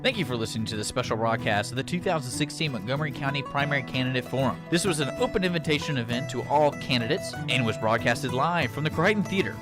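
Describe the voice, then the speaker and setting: Quick american radio guy reading a sign-off, guitar music loud behind him. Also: mid-range voice. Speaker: radio announcer. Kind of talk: scripted radio outro announcement. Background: loud plucked guitar music.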